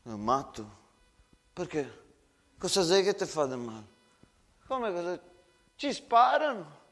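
Actor as a simple Venetian soldier, high-voiced. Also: male voice, very animated, fairly bright tone, full character voice. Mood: bickering. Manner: colloquial, halting, repeats phrases. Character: simple, friendly Italian soldier chatting with trench comrades. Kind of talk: theatrical storytelling.

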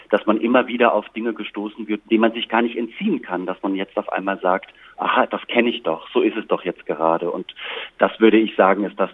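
Over a tinny, phone-like line with no room sound, a man speaks in a fairly low voice. He is an interview guest. His answers are reflective and articulate, built in considered phrases. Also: no room sound. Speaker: interview guest, playwright and director.

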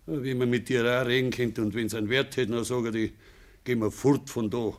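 An actor playing a bitter, gruff older farmer and father in a radio drama, speaking Bavarian dialect with a grumbling, scornful manner. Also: mid-range voice, fairly even intonation, moderate pace, natural voice.

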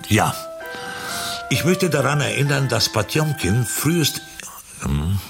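A man rehearsing a narration script aloud, halting, repeating himself and correcting as he goes. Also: medium pitch, very animated, creaky. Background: music.

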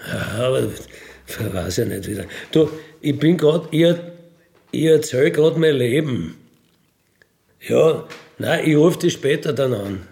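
A middle-aged man with a Bavarian accent tells first-person anecdotes casually, rambling along with colloquial tag questions and throat clearing. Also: mid-range voice, lively intonation, unhurried, a little mellow. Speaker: storyteller, self-described burglar.

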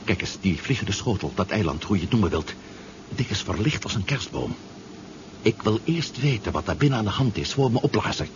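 Radio serial role: nervous yet resolute man played by an actor on a dangerous dive, rather low voice, muffled vintage sound. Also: animated, brisk, lightly acted. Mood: determined. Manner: anxious, questioning, resolute. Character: nervous but determined man on a deep-sea spy mission. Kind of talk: 1970s radio drama acting. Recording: dull, noisy 1970s radio recording.

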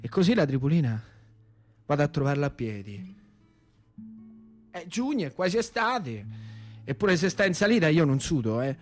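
An actor playing a naive, lame country youth recalling wartime in a theatrical radio monologue, colloquial and repetitive, with a central Italian accent. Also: male voice, on the high side, sing-song, normal speed, long pauses, faint music behind. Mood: wistful, wondering. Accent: central Italian, Lazio. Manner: colloquial, breathless, repetitive, wide-eyed.

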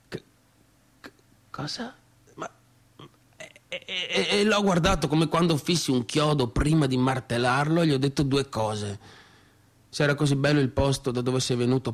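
An actor playing an obsessive, aggrieved young factory boss in a theatrical monologue, with a northeastern Italian accent and halting, repetitive, colloquial speech. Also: male voice, mid-range voice, close to an everyday voice. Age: young adult.

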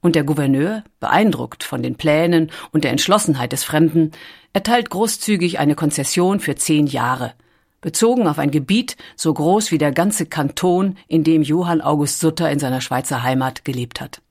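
A woman reading a scripted documentary story with measured, deliberate phrasing. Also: low voice, lively intonation, darkish tone. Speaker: audiobook narrator.

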